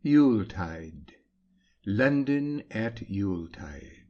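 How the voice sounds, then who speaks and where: A man with a fairly low voice narrates a story very slowly. His delivery is measured and declamatory, like a dramatic audiobook, and the recording is slightly dull. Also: sing-song.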